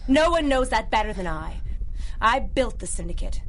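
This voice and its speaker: A woman's voice: a little high, big pitch swings, normal speed, fairly bright tone, a little hiss or hum, rough recording. An actress playing a haughty, cunning female crime lord in a pulp radio drama, with an imperious, taunting delivery. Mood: scornful.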